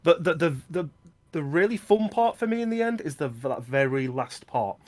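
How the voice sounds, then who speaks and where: A man's voice: on the high side, lively intonation, moderate pace. Chatty man co-hosting a film podcast. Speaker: podcast co-host. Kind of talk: casual film discussion.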